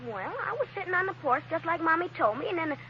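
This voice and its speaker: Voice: very high voice, expressive, quick pace, bright, forceful tone, dull audio, heavily acted. An actor playing a mischievous, talkative little boy in a 1940s radio drama, with naive, chatty phrasing. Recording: old radio recording, faint noise.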